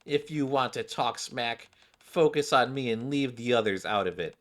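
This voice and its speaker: A man voicing a surly, sharp-tongued schoolgirl from a game, curt and dismissive. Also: mid-range voice, moderate pace, close to an everyday voice. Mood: scornful, angry.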